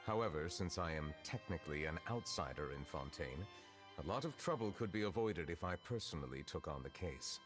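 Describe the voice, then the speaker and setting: An actor playing a grave male judge who is an ancient dragon in human form, speaking in a formal, measured way. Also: low-pitched, lively intonation. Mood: solemn. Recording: game audio over loud music.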